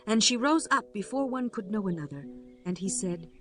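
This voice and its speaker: A woman narrating a scripture audiobook in a measured, formal way. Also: mid-range voice, lively intonation, a bit bright, soft background music.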